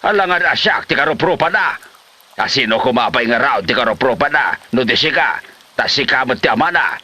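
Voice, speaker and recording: A man's voice: medium pitch, lively intonation, fairly quick, pushed and bright, husky, heavily acted. A man with a Filipino Ilocano accent playing an exasperated older father who scolds his lazy son in a comedy drama. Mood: exasperated scolding.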